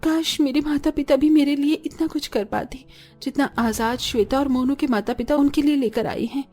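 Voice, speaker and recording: High-voiced wistful little girl played by a woman. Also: moderate pace, warm tone, soft background music, natural voice. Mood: sad, wistful.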